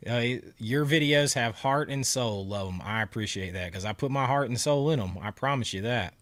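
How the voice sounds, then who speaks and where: A man's voice: medium pitch, normal speed, fairly bright tone, dry recording. A man hosting a casual livestream, congested and talking around a cough drop, chatting and laughing as he answers viewer comments. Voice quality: nasal from congestion. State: stuffy nose, sore throat. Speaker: livestream host. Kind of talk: casual live chat with viewers.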